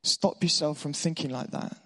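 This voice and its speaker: A man preaching a sermon in church, encouraging and informal, his voice a little hoarse from being unwell. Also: a little high, fairly even intonation, normal speed. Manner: warm, encouraging, informal with asides. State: slightly hoarse voice. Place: church hall. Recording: long hall reverb.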